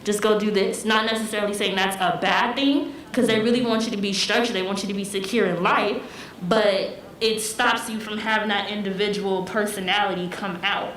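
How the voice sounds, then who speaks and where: A woman's voice: mid-range voice, fairly even intonation, brisk, a bit bright. A young American woman speaking conversationally on a town hall panel, explaining her points with repeated phrases. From United States. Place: echoey room at a town hall forum. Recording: echoey room, faint noise.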